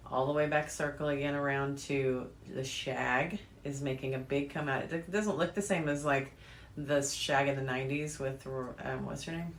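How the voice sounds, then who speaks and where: A woman's voice: rumbling low, steady tone, moderate pace. A middle-aged American woman co-hosting a podcast in casual, bantering conversation. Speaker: podcast co-host. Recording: echoey room, faint background noise.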